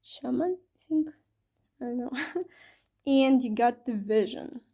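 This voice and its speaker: Mellow-voiced woman reading tarot. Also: sits high, unhurried, vocal fry. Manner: chatty, with many fillers and self-corrections. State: slightly strained voice from talking long. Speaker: tarot reader. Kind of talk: casual tarot reading to camera.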